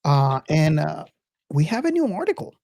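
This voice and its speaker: A podcast host talking casually to listeners and co-hosts, haltingly and searching for words. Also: medium pitch, very animated, gravelly, a little mellow, dry recording.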